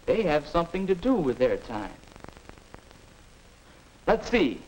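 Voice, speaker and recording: A man's voice: fairly high pitch, sing-song, takes it slowly, a little mellow. A man playing a restless, doubting American teenage boy in a 1950 educational film, earnest and stagey, on a noisy old soundtrack. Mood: puzzled, moping.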